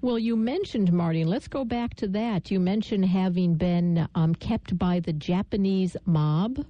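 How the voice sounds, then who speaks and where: A woman hosting a radio interview, measured and polite as she introduces and questions her guest. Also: medium pitch, muffled recording. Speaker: radio show host.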